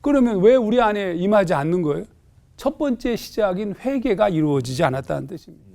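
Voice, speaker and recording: A male pastor talks through a scripture passage on a studio panel, pausing on fillers as he thinks. His voice sits high and has a gravelly edge. The tone stays mellow. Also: very animated. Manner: thoughtful, explanatory, with hesitant fillers. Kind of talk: panel discussion explaining scripture.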